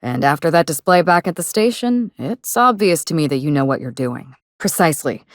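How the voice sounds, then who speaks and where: A woman's voice: sits low, animated, a little fast, no echo. Vengeful grieving female game character, sardonic, articulate, controlled delivery, dry close studio sound. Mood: businesslike, determined. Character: sardonic, grieving actress out to avenge her murdered sister. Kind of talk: video game dialogue acting.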